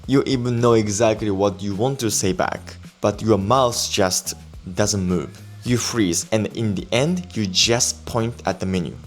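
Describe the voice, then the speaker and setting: A man with a Japanese accent and a fairly low voice delivers a scripted online lesson. He explains things patiently and reassures the listener, sounding calm and steady. Soft background music plays faintly behind him. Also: measured pace. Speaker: Japanese teacher.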